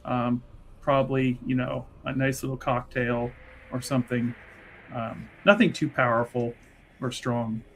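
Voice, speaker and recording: A man's voice: medium pitch, steady tone, unhurried, fairly bright tone, slight background noise, rough recording. A man casually improvising narration as a tabletop roleplaying player, jokey and chatty.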